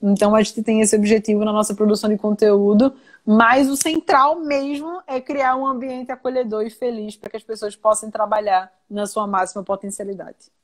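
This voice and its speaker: A young woman from Recife with a strong Pernambuco accent, talking as an interview guest in a chatty, animated way with many fillers. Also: medium pitch, normal speed. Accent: Pernambuco Portuguese. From Recife, Brazil. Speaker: guest, science communicator and entrepreneur. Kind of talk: video call interview.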